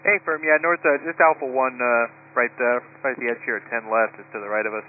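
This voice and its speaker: Male pilot, radio call, quick and hesitant, thin radio sound with faint hiss. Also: medium pitch, steady tone. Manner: unsure, halting with fillers. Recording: tinny radio transmission with faint noise.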